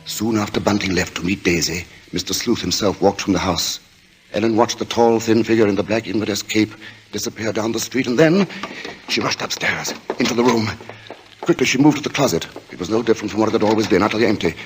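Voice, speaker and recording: A man narrating a suspense story in 1940s radio style, grave and deliberate, with dramatic pauses. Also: on the low side, slight background noise, close to an everyday voice. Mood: suspenseful. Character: grave storyteller of a creeping murder mystery.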